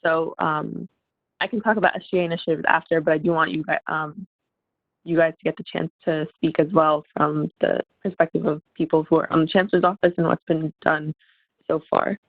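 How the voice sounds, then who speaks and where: A woman in student government speaking in an online meeting, losing her voice, informal and halting with fillers. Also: low voice, flat delivery, dry recording. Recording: tinny phone-like call audio.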